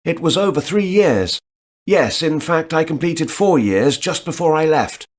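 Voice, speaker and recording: A man playing a job applicant in a scripted listening dialogue, answering questions in a relaxed way and thinking aloud. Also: on the high side, measured pace.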